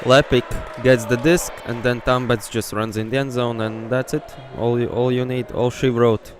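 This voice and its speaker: A man with a Latvian accent whose first language is Latvian, giving excitable, openly partisan live play-by-play commentary. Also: mid-range voice, moderate pace. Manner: excitable, openly partisan, bursts into shouts on big plays. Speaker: sports commentator. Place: indoor sports hall.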